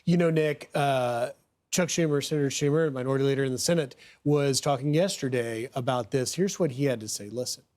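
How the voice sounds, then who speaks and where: A man's voice: mid-range voice, brisk, a little mellow. A man hosting a broadcast news show, introducing guests and putting questions to them in an interview.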